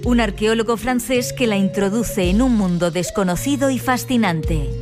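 A woman's voice: mid-range voice, normal speed, over music. A woman reading scripted narration to introduce a feature. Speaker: programme narrator.

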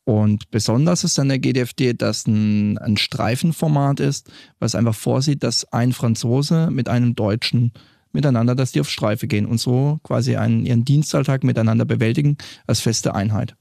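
A man with a gravelly, creaky voice talks through how police patrols work, as a former group leader. He explains steadily and stops briefly now and then to find the next word. The studio sound is dry and close. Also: mid-range voice, moderate pace, darkish tone, dry recording. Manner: explanatory, with some hesitations.

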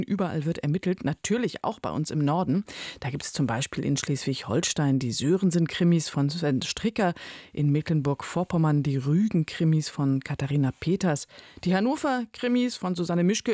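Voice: medium pitch, darkish tone, no echo. Radio presenter in a dry studio.

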